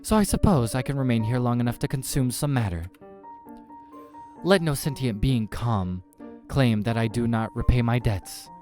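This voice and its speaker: A man plays a haughty alien horror in female form, rough-voiced and raised in pitch. She speaks in stiff, formal commands, as in a visual novel game, over music. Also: moderate pace, darkish tone, close to an everyday voice. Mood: haughty, cold. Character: haughty female eldritch being, imperious and alien.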